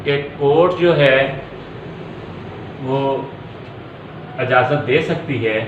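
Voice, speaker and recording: A man's voice: medium pitch, extremely slow, dull audio. Man lecturing in an echoey room. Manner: repetitive, step-by-step explaining. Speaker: law lecturer. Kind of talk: explanatory lecture mixing Urdu and English. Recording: echoey room, faint background noise.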